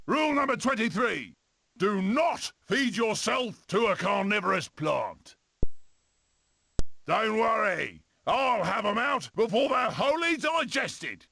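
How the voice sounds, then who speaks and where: A man voicing a cocky, eccentric weasel survivalist for an animated game, with a British accent, a gravelly voice and theatrical, bossy swagger. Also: high voice, slow, a little hiss or hum, heavily acted. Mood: brisk, cocky. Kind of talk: animated game character acting.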